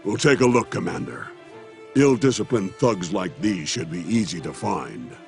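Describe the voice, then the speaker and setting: An actor voicing a gruff, confident beast soldier with a gravelly voice in a video game. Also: male voice, low-pitched, lively intonation, moderate pace, darkish tone. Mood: confident, scornful. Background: game music.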